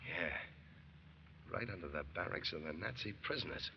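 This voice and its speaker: An actor playing a weary, sarcastic American soldier who grumbles drily, in 1940s radio drama style. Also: male voice, low-pitched, expressive, moderate pace, over loud music. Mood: grim realization. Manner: dry, sarcastic, weary complaining. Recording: muffled vintage radio recording, faint noise.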